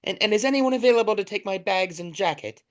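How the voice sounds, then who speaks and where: A fussy, self-absorbed visitor in a comic audio play, as an actor performs it. The voice is mid-pitched, wordy and full of complaint, with faint noise and clicks behind it. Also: lively intonation, quick pace, close to an everyday voice. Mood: relieved, complaining.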